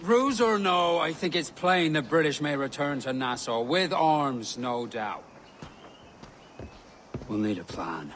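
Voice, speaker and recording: A man's voice: on the high side, lively intonation, slow pace, fairly bright tone, slight background noise. An actor playing a cautious, disillusioned pirate statesman who speaks soberly and eloquently in a video game cutscene.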